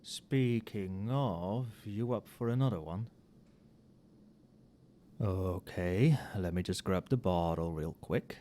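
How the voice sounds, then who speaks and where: A man playing an overworked, tipsy magic professor in a casual ASMR roleplay, muttering softly with dry, wry asides. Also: deep voice, slow, background noise. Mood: musing, tipsy. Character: tipsy, overworked male magic professor grading late at night.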